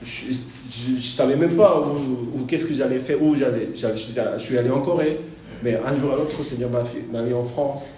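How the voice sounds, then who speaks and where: Male pastor, stop-start delivery with frequent laughs, mellow voice; distant mic in an echoey church hall. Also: mid-range voice, slight background noise. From Argentina. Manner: halting, repeats words, laughs often. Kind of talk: sermon.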